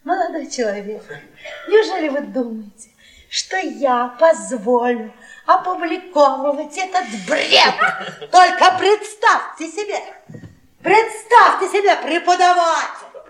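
Shrill, taunting tipsy wife. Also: female voice, animated, a little slow, fairly bright tone. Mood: gleeful mockery. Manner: theatrical, drawling mockery. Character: taunting, tipsy middle-aged wife, brazen and spiteful. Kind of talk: stage-style radio play acting. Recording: echoey old radio play, music behind.

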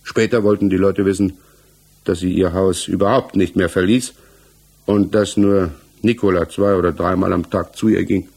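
A man's voice: low-pitched, steady tone, vocal fry, a little mellow, breathy edge, heavily acted. An actor playing a defensive, hesitant married village tradesman under questioning in a 1960s German radio play, searching for his words. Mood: reflective, uneasy. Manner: halting, searching for words, evasive.

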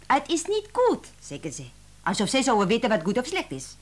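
Dramatic young french cook lady, strong principles, french accent, high voice that goes all over, radio play. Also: wildly swooping pitch, brisk. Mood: indignant, animated. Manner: dramatic, indignant, sprinkles speech with French exclamations.

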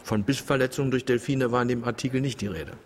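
A man acting a tour boat skipper in a radio play. He is a good-natured, wry sort who shies from conflict. His voice is natural and medium in pitch. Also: fairly even intonation, quick pace, natural voice. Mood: subdued, matter-of-fact. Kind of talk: German radio drama acting.

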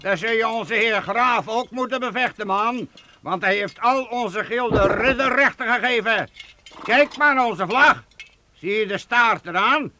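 A man's voice: very high-pitched, measured pace. An actor playing a brash, proud medieval townsman who leads guild archers, blunt and mocking, in a radio play. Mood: mocking, commanding. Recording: faintly noisy old radio play recording.

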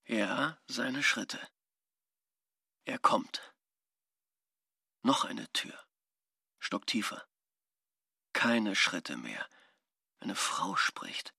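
A man's voice: fairly low pitch, sing-song, very slow, gravelly, pauses often, sharp tone, breathy edge, no echo, clean studio sound, full character voice. An actor playing a shrewd, nosy man thinking aloud as he eavesdrops, musing in clipped phrases in a radio drama. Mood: tense, watchful. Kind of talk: radio drama interior monologue.

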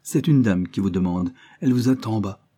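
Un homme jouant un domestique dédaigneux. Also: male voice, on the low side, brisk, dark tone, close to an everyday voice. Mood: curt. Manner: curt, grudging. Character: disdainful male house servant.

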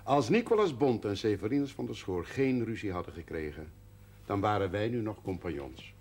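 A man's voice: on the low side, animated, moderate pace, a little mellow, husky. An actor playing an amiable, persistent businessman in a conversational, probing way in a television drama. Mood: persuasive. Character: amiable, persistent businessman, expectant father, old rival. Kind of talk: naturalistic television drama acting. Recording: faint noise, 1970s television soundtrack.

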